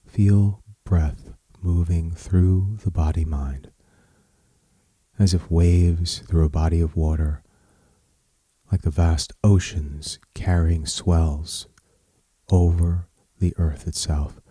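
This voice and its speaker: A man with a low, mellow voice guides a meditation at a very slow, measured pace. He speaks soothingly in short phrases, leaving space between them. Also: fairly even intonation, slightly rough, dry recording.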